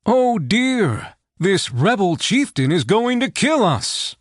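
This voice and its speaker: Storybook sidekick: jovial mocking sellsword, voiced by a man, higher, oddly colored tone. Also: sing-song, unhurried, sharp tone, no room sound, studio quality, heavily acted. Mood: mock terror. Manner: mock-theatrical, teasing. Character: hearty male mercenary companion, mocking.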